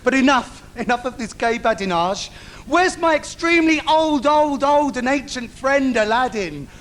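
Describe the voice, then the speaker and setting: A man's voice: extremely high pitch, expressive, moderate pace, fairly bright tone. A male actor playing a cheeky, camp, bitchy comic sidekick in an adult stage pantomime, calling out to the audience. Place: theatre stage. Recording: noisy live theatre stage recording.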